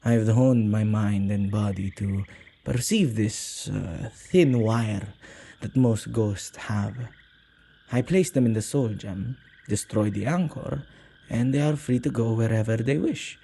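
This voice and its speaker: A man playing a possessive yet soft-hearted male witch in an intimate ASMR roleplay, speaking gently and haltingly in slightly archaic phrasing. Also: a little hiss or hum. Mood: patient, explaining. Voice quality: low, husky, creaky voice.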